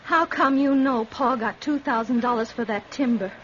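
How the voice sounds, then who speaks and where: A woman's voice: on the high side, moderate pace, lightly acted. An actress playing an intense rural American country girl in an old-time radio drama, with plain folksy speech. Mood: accusing, defiant. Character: distraught, suspicious, defiant country girl devoted to her pa. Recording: muffled, noisy vintage radio recording.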